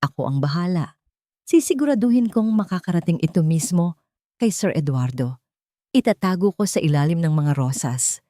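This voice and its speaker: A woman's voice: on the low side, lively intonation, moderate pace, warm tone, no echo, clean studio sound, close to an everyday voice. Narrator as market flower seller, woman, firm, reassuring, helpful, audio-story dialogue. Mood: confident, reassuring. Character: helpful, confident female flower vendor.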